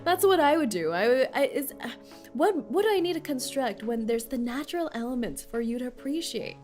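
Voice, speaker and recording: A woman's voice: high voice, expressive, a little fast, darkish tone, faint music behind. A woman streaming a game, chatting playfully and giggling as she reacts to the story. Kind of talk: casual gameplay commentary.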